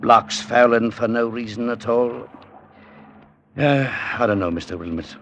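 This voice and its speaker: Troubled, exacting shipwright, medium register, vintage radio drama with faint background noise. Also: male voice, medium pitch, measured pace, a bit bright. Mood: uneasy, weary. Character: weary, uneasy male shipbuilder, plainspoken and exacting. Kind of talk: classic radio drama acting.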